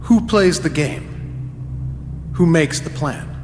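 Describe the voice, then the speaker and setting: An actor playing an earnest, questioning disciple of a god in an audio drama. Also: male voice, fairly high pitch, slow, noisy background. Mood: searching. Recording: long reverb, loud music underneath.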